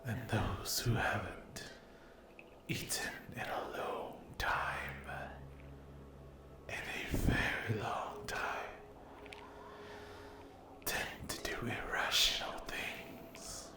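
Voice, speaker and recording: A man plays a possessive, menacing demon in an ASMR roleplay. The voice is often a breathy whisper at a medium pitch, drawn out very slowly with taunting sweet-talk. It echoes noticeably around the listener. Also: a crowd in the background. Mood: taunting, hungry. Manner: slow, whispered, taunting endearments. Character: menacing, possessive, hungry male sleep paralysis demon. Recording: echoey reverberant voice.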